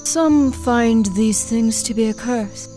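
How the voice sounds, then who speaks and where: Woman acting a very slow, wistful goddess. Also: on the high side, dark tone, faint music behind. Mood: wistful, fascinated. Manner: slow, deliberate storytelling. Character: wistful, fascinated goddess with double-edged gifts. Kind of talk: fantasy audio drama acting.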